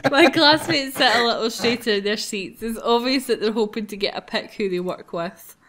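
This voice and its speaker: A woman's voice: fairly high pitch, normal speed, fairly bright tone. A woman hosting a visual novel playthrough, mixing casual narration with chat. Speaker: host reading a visual novel.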